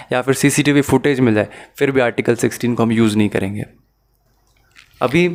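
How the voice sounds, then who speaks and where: A man with a Pakistani accent giving an explanatory law lesson in a methodical, step-by-step manner. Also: mid-range voice. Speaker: law lecturer. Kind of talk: explanatory lesson mixing Urdu and English.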